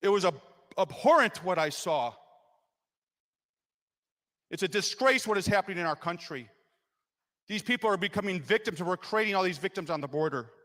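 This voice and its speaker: A man who is a state legislator, giving an impassioned floor speech to explain his vote, rising to loud emphasis. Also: sits high, expressive, normal speed, long pauses. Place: legislative chamber. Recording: long reverb in a legislative chamber.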